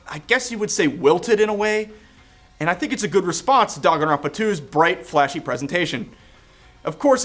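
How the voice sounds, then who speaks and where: A man's voice: a little high, fairly bright tone. A man hosting a video game review, talking in a casual, opinionated way. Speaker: host and reviewer.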